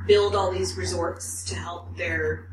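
A woman's voice: fairly low pitch, fairly even intonation, slow, background noise. A woman co-hosting a podcast, chatting casually with lots of "like" and fillers. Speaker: podcast co-host. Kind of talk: casual chat. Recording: echoey room, mic at a distance.

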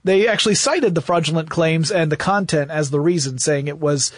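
A man with a voice a bit higher than most co-hosts a podcast about history. He tells it conversationally, with a casual and wry touch. The recording is very dry, free of room sound. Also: a little fast, no echo. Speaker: podcast co-host.

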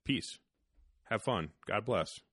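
A man's voice: fairly low pitch, animated, slow, fairly bright tone, breathy edge, no room sound, clean studio sound. A man hosting a podcast in casual chat, profane and rambling, laughing often. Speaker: podcast host. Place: hunting cabin.

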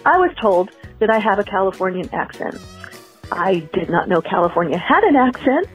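A woman leaves a chatty, amused voice message, her pitch swinging in a lively way. The recording is dull and lo-fi, with faint music underneath. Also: moderate pace. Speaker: listener voice message.

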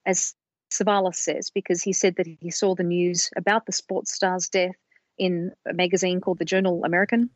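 A woman co-hosting a podcast, explaining research in a measured storytelling way on a slightly dull, lo-fi recording. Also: lowish voice, little pitch movement, dry recording. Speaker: podcast co-host presenting research.

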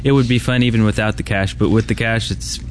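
A man's voice: fairly low pitch, fairly even intonation, fast talker. A man co-hosting a podcast in casual chat, recorded on an indoor convention floor. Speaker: podcast co-host. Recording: background noise of a busy convention floor. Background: convention ambience and noise.

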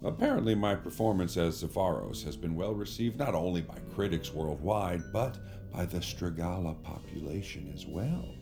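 A self-important celebrity savior in an audio drama, with a low voice that swings theatrically. He is pompous and slippery, with grand phrasing, and music plays underneath. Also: expressive, moderate pace, gravelly, hardly any pauses. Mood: grandiose, reassuring. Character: smarmy, theatrical celebrity actor posing as a savior.

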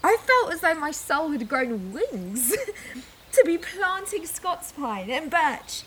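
Young daughter on the edge of a new life woman acting, emotional, higher register, naturalistic radio-play delivery. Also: big pitch swings, takes it slowly, slight background noise, heavily acted. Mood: elated. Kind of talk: naturalistic audio drama acting.

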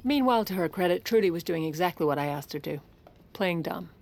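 A commanding First Lady in an audio drama, talking fast with a lively, swooping pitch. She is steely and sardonic, giving orders and dry retorts at a brisk clip. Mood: wry narrating. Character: steely, wry, commanding First Lady covering for her ailing husband. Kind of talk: audio fiction drama acting.

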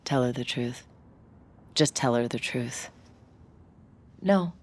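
A woman's voice: extremely low pitch, slow, a little mellow, heavily acted. A woman playing an anxious, guarded young woman in an audio drama, with an American accent and a hesitant, self-questioning manner. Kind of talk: naturalistic audio drama acting.

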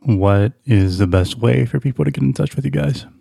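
A man hosting a podcast conversation, putting questions to guests in a measured, courteous way. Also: medium pitch, vocal fry, warm tone, breathy edge, dry recording, studio quality. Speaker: podcast host, interviewer. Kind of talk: moderated conversation.

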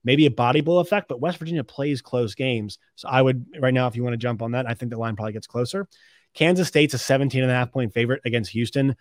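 A man hosts a sports podcast in an unscripted, conversational style. His voice sits a little high for a man and is recorded dry, with very little room sound. He talks through analysis and picks as if thinking aloud, often correcting himself mid-sentence. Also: quick pace, no room sound.

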